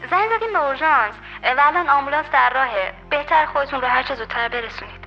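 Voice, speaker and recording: Woman as a hesitant high-voiced school caller. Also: lively intonation, muffled recording, faint music behind. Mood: hurried. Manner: hesitant, halting with fillers.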